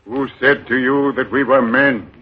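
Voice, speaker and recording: An actor playing a cunning beggar leader who poses as a god, speaking in a solemn, commanding, declamatory old radio drama style. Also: male voice, medium pitch, steady tone, unhurried, a little hiss or hum, natural voice. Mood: solemn, imperious. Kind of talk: 1940s radio acting. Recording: old muffled radio broadcast with music.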